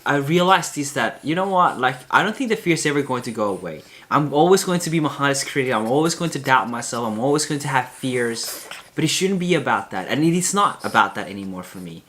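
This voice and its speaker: A young man with a high-pitched voice talking casually and candidly to camera in a vlog, rambling with many fillers and restarts. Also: sharp tone. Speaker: vlogger.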